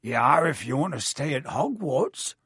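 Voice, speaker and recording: A man voicing a big gruff gamekeeper who talks bluntly with country words. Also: medium pitch, big pitch swings, moderate pace, fairly bright tone, dry recording, studio quality, heavily acted. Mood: stern.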